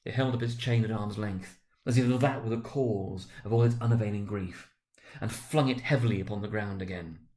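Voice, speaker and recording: A man's voice: fairly low pitch, normal speed, darkish tone. A man reading a classic story aloud as a storyteller, in dramatic, scripted narration.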